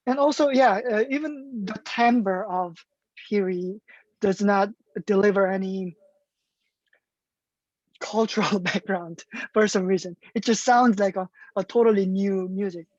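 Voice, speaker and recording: A woman's voice: medium pitch, unhurried, darkish tone, dry recording, muffled recording. A woman hosting a music symposium, asking questions in an enthusiastic, articulate way with some fillers. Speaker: symposium host, interviewer. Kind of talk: moderating an online talk.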